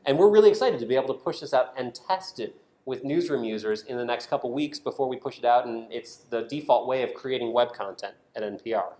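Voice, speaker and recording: An American man delivers a conference talk in a mid-pitched voice. He explains step by step and puts emphasis on key phrases. The room around him adds a clear echo to his speech. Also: animated, fast, hardly any pauses, dark tone. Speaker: product designer giving a conference presentation. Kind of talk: conference presentation. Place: indoor conference room, speaking to an audience. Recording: roomy, echoing conference room sound.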